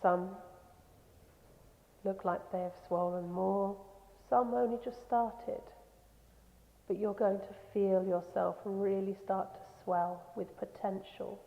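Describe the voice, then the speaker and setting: A woman leading a guided meditation in a soothing, gentle, unhurried manner with pauses. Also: mid-range voice, steady tone, gravelly. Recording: echoey long reverb, slightly dull sound.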